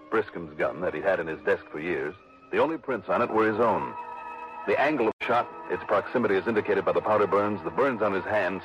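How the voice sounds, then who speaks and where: A wry investigator, played by an actor, with a low voice who talks fast and probes his suspects. The sound is dull and lo-fi, from an old radio broadcast. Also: natural voice. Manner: wry, probing. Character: insurance investigator, wry narrator. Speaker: lead and narrator. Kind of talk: 1960s radio drama acting.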